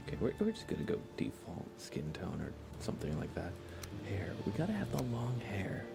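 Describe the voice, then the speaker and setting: A man chatting casually on an ASMR game livestream in a soft, breathy voice that often slips toward a whisper, hesitant and rambling. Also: on the low side, huge pitch swings, a little fast. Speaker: livestream host. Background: music.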